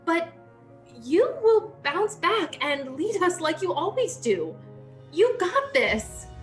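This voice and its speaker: A woman plays a loyal young sorority girl in a scripted drama. She speaks high and slowly with big swings in pitch, full of encouragement, in an echoey room. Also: sing-song, faint music behind, full character voice. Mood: encouraging. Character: excitable, loyal young sorority sister cheering on her leader.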